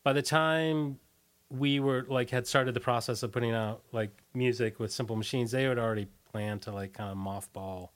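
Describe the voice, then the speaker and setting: A man speaking as an interview guest, casually recollecting in a loose, halting way and often trailing off. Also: medium pitch, fairly even intonation.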